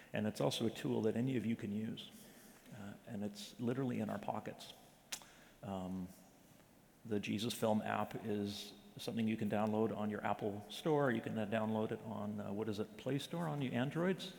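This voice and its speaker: Gravelly man speaking in a reverberant hall. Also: lowish voice, a little hiss or hum. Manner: conversational, many fillers and restarts, storytelling. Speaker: missions speaker at a church presentation. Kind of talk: informal church talk to a congregation.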